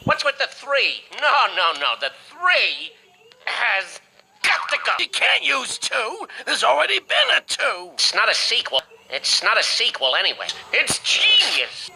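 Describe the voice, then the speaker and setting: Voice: medium pitch, wildly swooping pitch, measured pace, vocal fry, long pauses, bright, forceful tone, breathy edge, heavily acted, rough recording. An actor voicing a fussy, emphatic and bossy cartoon character. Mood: insistent.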